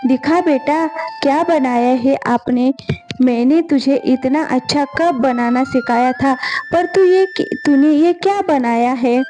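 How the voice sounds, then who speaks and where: Woman voicing a stubborn village potter, high-pitched. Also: takes it slowly, warm tone, faint music behind. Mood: encouraging. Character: stubborn, weary village potter and tea seller, husband and father.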